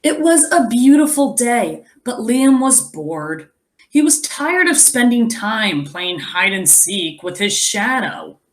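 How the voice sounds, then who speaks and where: A woman reading a picture book aloud as a teacher, expressively, pausing to explain and think aloud for young children. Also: on the high side, sing-song.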